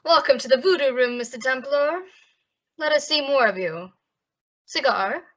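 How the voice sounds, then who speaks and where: Girl doing a smug mob boss, old radio drama, sharp high voice, kinda muffled audio. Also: normal speed. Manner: mock-polite, taunting. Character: smug, menacing male nightclub gangster boss. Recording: dull lo-fi audio.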